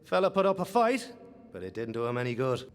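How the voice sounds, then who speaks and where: A man's voice: mid-range voice, very animated, a little fast, long reverb tail, close to an everyday voice. A man voicing a glib, sardonic, cocky mercenary in a video game. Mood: breezy. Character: cocky, wisecracking male mercenary detective.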